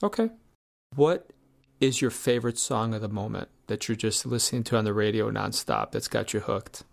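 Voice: mid-range voice, normal speed, creaky. Chatty, casual interview host. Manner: loose, chatty, many "you know" and "like" fillers.

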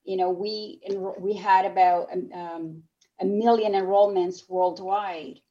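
A woman's voice: medium pitch, fairly even intonation, unhurried, warm tone, lo-fi sound. A woman from Nicaragua, speaking English as a second language, telling her story as a guest on a radio talk show in an earnest, reflective way. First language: Spanish. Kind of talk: radio interview.

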